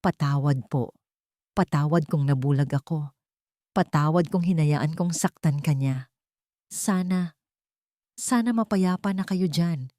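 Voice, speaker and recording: Audio drama: woman doing a humbled young heir, calm and respectful, low pitch, dry read. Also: unhurried, pauses often, no echo. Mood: remorseful. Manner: soft, respectful, apologetic. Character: grieving young male heir, gentle and humbled.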